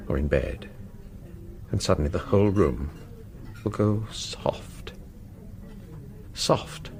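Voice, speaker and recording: A haunted, creaky-voiced warden. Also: male voice, fairly low pitch, darkish tone, exaggerated voice. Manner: halting, anguished, broken phrases. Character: haggard college warden tormented by nightmares, fearful and despairing. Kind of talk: radio drama acting.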